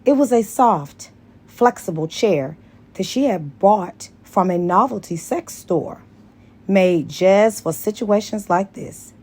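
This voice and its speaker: A woman's voice: medium pitch, animated, takes it slowly, a little mellow, breathy edge. A woman reading a story aloud as scripted audiobook narration. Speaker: audiobook reader.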